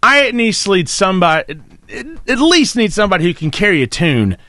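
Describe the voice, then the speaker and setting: A man's voice: sits high, big pitch swings, a little fast, sharp tone, dry recording. A man co-hosting a radio show, joking and chatty. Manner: joking, chatty, easily amused. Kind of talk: casual chat.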